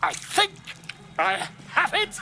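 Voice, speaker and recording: A self-important would-be scholar and bard from a fantasy game. His voice is high and bright, leaping all over with theatrical flourish. He talks in florid, pompous exclamations over faint background noise. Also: wildly swooping pitch, slow pace, exaggerated voice. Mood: fussy concentration. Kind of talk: video game character acting.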